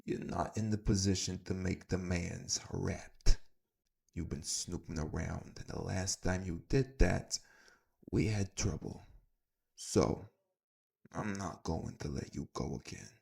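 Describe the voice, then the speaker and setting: A man playing a menacing crime boss in an audio roleplay, making slow, deliberate threats. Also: deep voice, vocal fry, darkish tone, slightly rough, exaggerated voice. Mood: cold menace.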